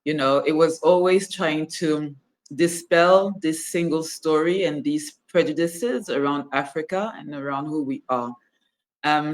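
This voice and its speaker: A woman from Cameroon in her forties, a fashion brand founder talking reflectively about her life in an interview. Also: low-pitched. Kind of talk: interview conversation.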